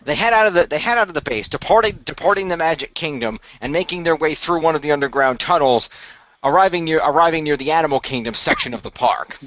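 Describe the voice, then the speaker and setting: A man narrating an amateur radio play in a halting way, often repeating the start of his phrases. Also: a little high, sharp tone, highs cut off.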